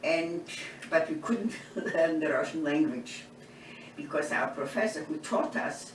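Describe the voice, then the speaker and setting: A woman, from eastern Poland, giving oral history testimony in an unhurried storytelling way, often restarting her phrases. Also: low-pitched. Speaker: Holocaust survivor interviewee. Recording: roomy, distant mic, background noise.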